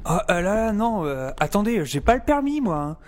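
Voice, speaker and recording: Man playing an excitable, hesitant young professor, lively pitch. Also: normal speed. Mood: hesitant, nervous. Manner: colloquial, hesitant, wry. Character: hesitant, excitable young male professor, wry narrator-hero. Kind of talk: audio drama dialogue and first-person narration.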